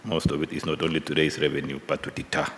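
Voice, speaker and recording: A man questioning presenters at a parliamentary hearing, halting and probing, often restarting his sentences. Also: extremely low pitch, level tone, fairly bright tone. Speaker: committee member questioning presenters. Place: large hearing room. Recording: long hall reverb, faint room noise.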